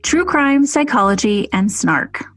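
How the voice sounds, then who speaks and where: A woman's voice: mid-range voice, lively intonation, unhurried, fairly bright tone, dry recording, faint music behind. A woman co-hosting a podcast, reading a scripted promotional spot. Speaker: podcast co-host, forensic psychologist.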